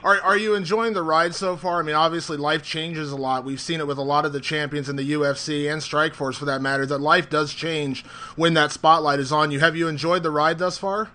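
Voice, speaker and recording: A man's voice: fairly high pitch, fairly even intonation, fairly quick, sharp tone. A man working as a reporter, asking wordy, conversational questions at a press call.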